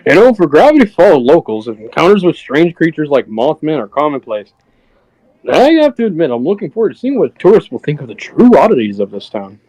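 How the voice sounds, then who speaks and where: A man's voice: on the high side, wildly swooping pitch, a little fast, a little mellow, dry recording, rough recording. A man voicing a folksy Midwestern dad reciting small-town lore with an American Midwestern accent. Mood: folksy, measured.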